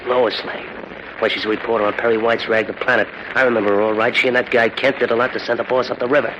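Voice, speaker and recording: An actor playing a sullen, slangy gangster thug plotting from the shadows in a 1940s radio drama. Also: male voice, medium pitch, flat delivery, fast talker, lightly acted. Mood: sullen, suspicious. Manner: tough underworld slang. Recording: noisy muffled old radio recording.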